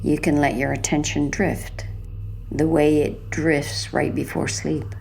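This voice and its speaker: A woman with a low, gravelly-edged voice leads a guided sleep relaxation. Her delivery is gentle and hushed, with soft creak at the ends of phrases. There is faint noise behind her. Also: flat delivery, slow pace. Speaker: hypnotherapy narrator.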